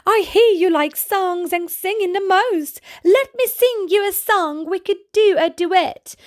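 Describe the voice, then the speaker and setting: A woman voicing a friendly, eager young male alligator in a children's story. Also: measured pace. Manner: bright, eager, high-pitched.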